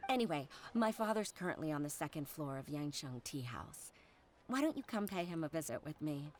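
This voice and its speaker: A woman playing a caring, soft-hearted young woman in a video game, speaking politely and earnestly. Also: low-pitched, sing-song, brisk. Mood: polite, hopeful.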